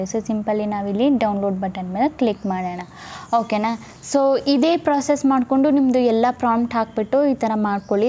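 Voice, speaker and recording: A woman's voice: fairly high pitch, mellow, a little hiss or hum. A woman giving a casual step-by-step tutorial, often checking in with her listeners. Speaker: tutorial host.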